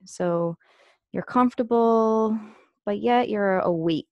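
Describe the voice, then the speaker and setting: A woman leading a guided meditation as an instructor, speaking gently and unhurriedly with long pauses. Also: mid-range voice, no echo, dull audio.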